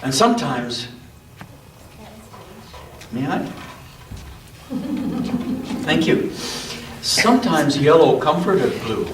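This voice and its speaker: A man reading a children's story aloud with dramatic pauses, breaking off to chat with listeners. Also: fairly high pitch, very animated. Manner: dramatic, deliberate pauses and emphasis; interactive, chatting with listeners. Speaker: storyteller reading to an all-ages church group. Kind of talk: read-aloud children's story with casual asides. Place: church, indoors. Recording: echoey room, distant mic, faint noise.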